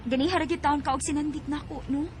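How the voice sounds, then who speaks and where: A woman's voice: high-pitched, fairly even intonation, fast, background noise, close to an everyday voice. A woman playing an easygoing, friendly young woman in a radio drama, with a Filipino accent. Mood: amused, friendly.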